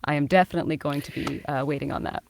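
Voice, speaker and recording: A woman voice actor as an interview guest, answering in a lively way and laughing often. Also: deep voice, fast talker, vocal fry, a little hiss or hum. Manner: bright, laughing, animated answers. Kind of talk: casual interview chat.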